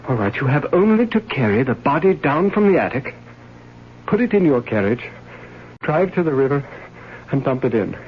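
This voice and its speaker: An actor playing a desperate, guilt-ridden gentleman in an old radio drama, with agitated stammering and formal, theatrical diction. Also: mid-range voice, big pitch swings, moderate pace, pauses often, slightly rough. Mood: anxious, insistent. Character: desperate, guilt-ridden gentleman confessing murder, pleading and imperious. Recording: muffled, noisy old radio recording.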